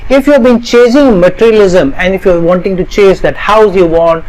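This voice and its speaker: High-pitched middle-aged astrologer guy from india, earnest, repeats himself in long lists. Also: a little fast, darkish tone, poor audio. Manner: earnest, repetitive, builds lists of parallel phrases. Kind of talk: explanatory talk to camera.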